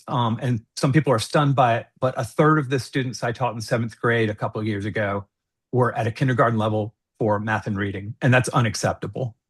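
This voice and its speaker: A middle-aged man giving an earnest, measured candidate statement on a video call, with some fillers. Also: medium pitch, husky. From United States. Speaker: school board candidate.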